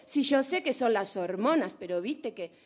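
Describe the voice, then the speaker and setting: A woman's voice: on the high side, lively intonation, normal speed. A woman in her forties playing a nosy, chatty Argentine woman with a put-on Rioplatense accent, teasing and drawing out her vowels. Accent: Rioplatense Spanish put on. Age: 40s. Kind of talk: comic monologue impression.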